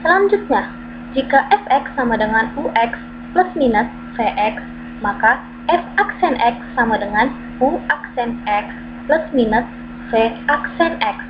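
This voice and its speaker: A woman with a slightly high voice reads through formulas and worked examples like a tutor. She goes step by step in a steady, methodical way. The recording sounds dull and lo-fi, with a clear hum running underneath. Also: a little slow. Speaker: math tutor. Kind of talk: reading out a math lesson.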